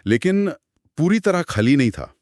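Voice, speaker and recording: A man co-hosting a podcast, retelling a horror story in a dramatic, suspenseful way with pauses. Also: fairly high pitch, very animated, a little mellow, no echo, clean studio sound. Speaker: podcast co-host.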